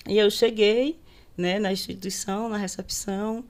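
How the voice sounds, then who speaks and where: A woman in her thirties from Sergipe, with a Sergipe Brazilian accent, telling stories in an unhurried, conversational way as a guest on a videocast, often tagging her phrases with a questioning aside. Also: medium pitch, steady tone, a little mellow. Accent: Sergipe Brazilian Portuguese. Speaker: public servant guest on a videocast. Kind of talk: conversational storytelling in a panel discussion.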